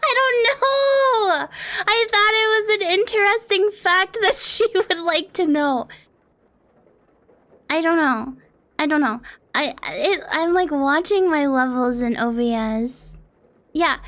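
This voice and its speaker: Young woman streamer, high voice, slow and rambling, self-deprecating with casual swearing, close desk mic at home. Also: fairly bright tone. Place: home apartment streaming setup. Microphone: close desk mic on an arm.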